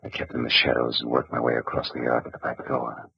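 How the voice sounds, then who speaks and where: A hard-boiled private eye talks in a low, very husky, clipped voice full of wisecracks. The vintage broadcast sound is muffled and lo-fi. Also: male voice, completely flat delivery, fast talker, dry recording, heavily acted. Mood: narrating. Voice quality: husky, clipped, tough-talking. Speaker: narrator and lead. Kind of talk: 1940s radio acting. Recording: dull old radio broadcast.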